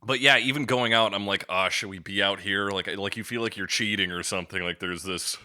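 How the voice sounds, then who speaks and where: A man's voice: fairly low pitch, brisk, sharp tone, dry recording, clean studio sound. A man hosting a podcast in casual, conversational chat. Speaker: podcast host.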